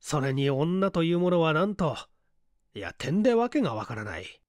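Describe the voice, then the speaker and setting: Male story reader, slightly high voice, lively intonation, scripted narration. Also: moderate pace, no echo, clean studio sound. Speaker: audiobook reader.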